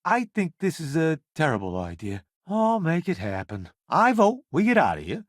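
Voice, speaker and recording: A man's voice: a little high, huge pitch swings, moderate pace, no echo, studio quality. An actor playing a jaded, showy middle-aged food critic turned hired space crewman in a video game, with wry, flippant, slangy delivery. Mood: wry, opinionated. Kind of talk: video game companion dialogue.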